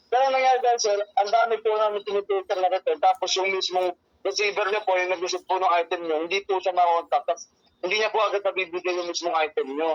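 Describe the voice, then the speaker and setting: A man's voice: high voice, steady tone, fast talker, no echo. A man with a Filipino accent speaking as a courier customer-service agent on the phone, explaining things deferentially and repetitively with frequent polite address. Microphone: telephone. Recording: muffled lo-fi phone call, dry.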